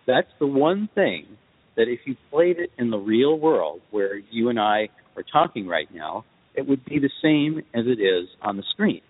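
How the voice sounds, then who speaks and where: A man answering interview questions as a guest, speaking in a measured, thoughtful way in short deliberate phrases. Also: medium pitch, very animated. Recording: muffled phone-like line with reverb tail.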